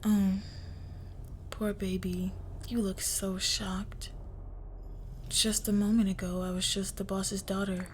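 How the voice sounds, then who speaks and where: A woman playing a crime boss's cold, menacing young daughter in an intimate audio roleplay, speaking in a hushed, deliberate near-whisper. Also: medium pitch, flat delivery, slight background noise, close to an everyday voice. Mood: mocking, teasing. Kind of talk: intimate scripted audio roleplay, dramatic monologue.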